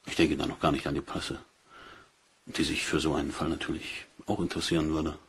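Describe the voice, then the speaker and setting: An actor playing a polite, calculating British police inspector in a German crime radio play, with measured, insinuating deference. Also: male voice, rumbling low, flat delivery, husky, heavily acted. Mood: quietly menacing.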